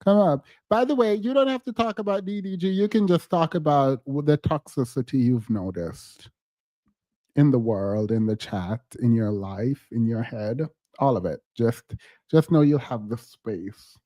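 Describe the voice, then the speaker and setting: A man's voice: medium pitch, measured pace, warm tone, no room sound. A man whose first language is not English hosts a livestream, talking in a playful, teasing way and drawing out emphatic words. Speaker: livestream host. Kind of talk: casual livestream chat.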